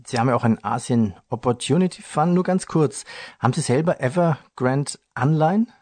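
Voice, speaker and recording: A man hosting a finance podcast interview, with smooth, scripted moderation and light jokes. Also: medium pitch, fairly quick, darkish tone, no room sound. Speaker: radio host.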